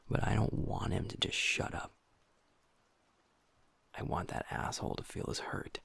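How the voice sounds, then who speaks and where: A man voicing a troubled young werewolf who is his pack's second in command, speaking softly and hushed, swearing and criticizing himself in an intimate audio roleplay. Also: low voice, gravelly, slightly rough, heavily acted. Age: young adult.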